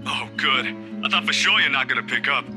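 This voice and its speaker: A man's voice: medium pitch, brisk, over music, natural voice. A man voicing a friendly, easygoing young man who talks casually and reassuringly, a character in a visual novel.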